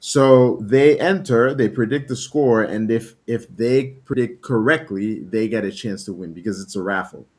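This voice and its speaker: A man whose first language is French, hosting a casual trading livestream, relaxed and laughing often while greeting viewers. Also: fairly low pitch, normal speed, hardly any pauses. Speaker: livestream host.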